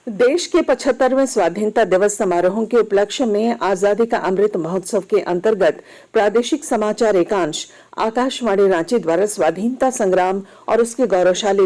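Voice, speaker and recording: A woman reads a scripted news bulletin as a radio newsreader, in a formal, measured manner. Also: medium pitch, mellow.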